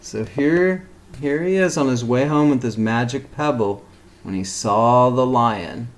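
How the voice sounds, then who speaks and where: A man reading a picture book aloud to young children, expressively and with deliberate phrasing. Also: medium pitch. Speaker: storybook reader for kindergarten children. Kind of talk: read-aloud storytelling with short asides.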